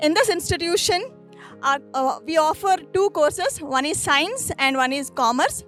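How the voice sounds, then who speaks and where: Slow, high-voiced Indian woman lecturer. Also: expressive, a bit bright, faint music behind. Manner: formal, measured, careful. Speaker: college lecturer and commerce coordinator. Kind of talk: prepared institutional introduction in English.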